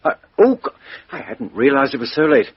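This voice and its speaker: English police inspector, bit husky, brisk questioning manner, muffled old radio play. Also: male voice, mid-range voice, very animated. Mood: surprised, chummy. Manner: businesslike, questioning, muttering asides. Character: brisk, dogged, plain-spoken male police chief inspector. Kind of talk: radio drama acting. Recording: roomy, dull lo-fi radio recording.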